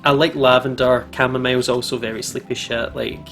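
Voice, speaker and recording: A man's voice: medium pitch, flat delivery, a little fast, fairly bright tone. A man hosting a casual let's play, commenting in a chatty, informal way. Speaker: gaming video host. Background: game music.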